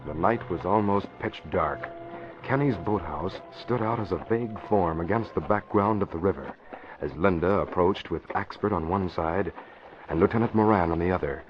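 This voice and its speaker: Male radio mystery narrator, sober, lowish, music underneath, dated muffled sound. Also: normal speed, natural voice. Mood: sober, suspenseful. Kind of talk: 1940s radio scripted narration. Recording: muffled vintage radio broadcast.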